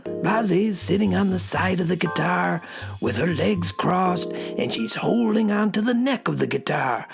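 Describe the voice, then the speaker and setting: Gravelly, swooping beatnik storyteller. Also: male voice, high-pitched, animated, a little slow, over music, exaggerated voice. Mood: amazed. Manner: hip slang, dramatic storytelling. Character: gravelly hip beatnik storyteller, full of wonder. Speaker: narrator. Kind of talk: children's radio storytelling.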